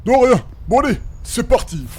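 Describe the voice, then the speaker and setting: A man's voice: very high-pitched, sing-song, moderate pace, slightly rough, exaggerated voice. A man playing a lazy, clownish, heavyset companion in a comic fantasy audio drama, with a complaining, jokey manner.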